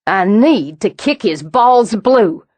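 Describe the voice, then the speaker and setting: A woman narrator voicing a fiery woman quick to fury, speaking forcefully and bitingly. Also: fairly low pitch, expressive, no echo, natural voice. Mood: furious.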